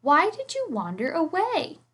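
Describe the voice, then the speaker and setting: A woman voicing an affectionate, earnest little girl in a storybook, with expressive exclamations. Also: very high voice, unhurried, voice pushed up, heavily acted. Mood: fond scolding. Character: affectionate, earnest little girl attached to her teddy bear.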